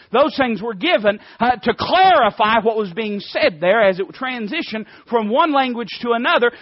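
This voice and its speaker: A man's voice: sits high, dry recording, dull audio. A man with an Appalachian accent preaching an impassioned sermon in a folksy, emphatic manner with rhetorical questions. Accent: Appalachian American. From Appalachia, United States.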